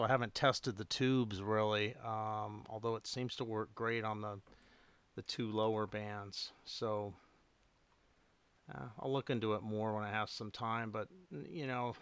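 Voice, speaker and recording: A man chatting casually and unscripted as a hobbyist livestream host, rambling a little and thinking aloud in halting phrases. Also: fairly low pitch, fairly bright tone, smooth, no room sound. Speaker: radio repair hobbyist hosting a livestream.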